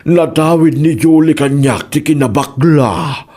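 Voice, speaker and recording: A man acting a suffering, pleading father with an Ilocano Filipino accent, drawn-out and plaintive in a melodramatic comedy radio drama. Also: a little high, dark tone.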